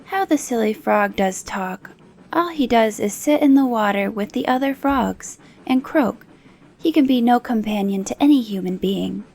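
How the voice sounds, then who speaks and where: A woman's voice: expressive, moderate pace, creaky, a little mellow. A woman playing a young, spoiled, fretful princess with a girlish, emphatic manner in a fairy-tale reading. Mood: scornful. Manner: high-pitched, girlish, emphatic.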